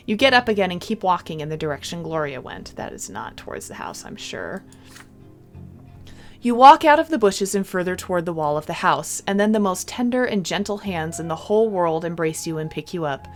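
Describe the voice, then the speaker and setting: A woman reading a visual novel aloud in an expressive storybook style, with casual playful commentary. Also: mid-range voice. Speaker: video game streamer. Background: game music.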